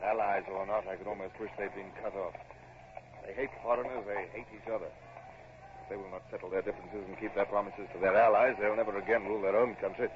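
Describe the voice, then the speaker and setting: An actor playing a brisk, wry British naval captain in an old radio drama. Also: male voice, fairly low pitch, steady tone, natural voice. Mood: bitter, weary. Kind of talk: old radio drama acting and first-person narration. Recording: muffled, noisy vintage radio recording.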